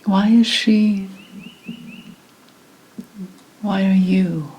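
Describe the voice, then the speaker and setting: Slow, whispering old witch, acted by a woman. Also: mid-range voice, fairly even intonation, highs cut off. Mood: sardonic, prying. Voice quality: breathy whisper mixed with soft voice. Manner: slow, deliberate, suspicious questioning with dramatic pauses. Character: wary, sardonic old 17th-century witch, potion maker. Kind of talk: ASMR roleplay, whispered and soft-spoken.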